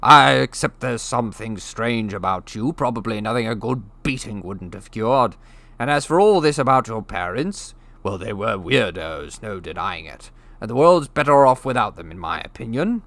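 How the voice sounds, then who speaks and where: A man's voice: on the low side, expressive, normal speed, a bit bright, no room sound. A male reader acts out a spiteful middle-aged uncle from a storybook. He blusters like a bully, snarling with open contempt for whoever he addresses. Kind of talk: audiobook character voice.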